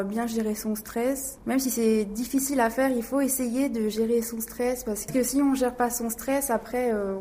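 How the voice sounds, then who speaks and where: A woman's voice: fairly high pitch, warm tone, slight background noise. A young woman, an interpreting student, answering interview questions in a hesitant way with many fillers.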